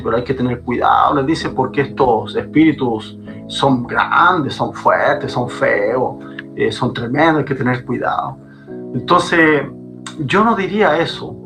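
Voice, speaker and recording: A man, a pastor, speaking fervently as a guest on a podcast and repeating phrases for emphasis. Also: medium pitch. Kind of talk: preaching guest in a podcast conversation. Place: Chile.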